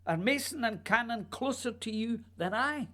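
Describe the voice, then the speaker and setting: A woman playing a proud, secretive male playwright in a radio play, speaking formally and deliberately in a husky voice. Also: a little high, animated, sharp tone. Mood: wounded, accusing.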